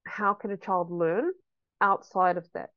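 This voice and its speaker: A woman's voice: on the low side, steady tone, a little slow, dry recording, dull audio. A woman, a homeschooling mother chatting reflectively in an interview with frequent ums. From New Zealand. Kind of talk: casual interview chat.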